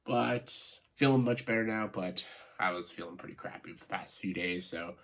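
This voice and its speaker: A man with a stuffed-up, nasal voice from a cold, giving casual, opinionated video commentary in a halting way, stressing key words and often saying "like". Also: deep voice, a bit bright. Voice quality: congested, nasal voice. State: congested, stuffed-up nose after a cold. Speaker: video host, film commentator.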